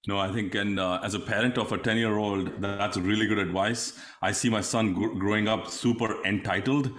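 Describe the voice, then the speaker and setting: Low-voiced hesitant male host. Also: fairly even intonation, moderate pace, a bit bright. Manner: hesitant, many ums and uhs. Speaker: interview host. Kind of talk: casual interview chat.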